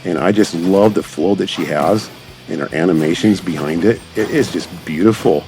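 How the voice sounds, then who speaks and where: A man's voice: low voice, normal speed, a little mellow. A man giving casual, enthusiastic, rambling gameplay commentary as a channel creator. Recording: background music behind the voice. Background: music.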